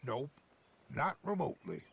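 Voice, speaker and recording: A man's voice: medium pitch, big pitch swings, normal speed, vocal fry, a bit bright, husky, exaggerated voice. A man playing a wary, plain-spoken American gunman in a Western video game, with a dry, grumbling manner. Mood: dry, sceptical. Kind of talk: video game cutscene acting. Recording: background noise, outdoor ambience.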